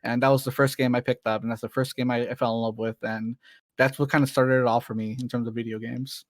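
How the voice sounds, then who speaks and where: A man's voice: mid-range voice, flat delivery, fast, no room sound. A man in his late twenties, a streamer, chatting casually as an interview guest, rambling a bit and laughing easily. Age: 20s.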